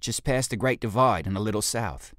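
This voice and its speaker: A man's voice: lowish voice, brisk, fairly bright tone, dry recording, studio quality, pitched above the performer's own voice, close to an everyday voice. A man voicing a scruffy male ape watchman in an audiobook, servile yet cheeky and rustic in manner. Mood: grudging, servile.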